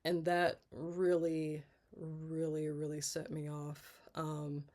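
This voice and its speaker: A congested woman in her thirties talking candidly in a personal vlog, halting and rambling, often restarting and trailing off. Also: low voice, monotone, darkish tone. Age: 30s. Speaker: vlogger.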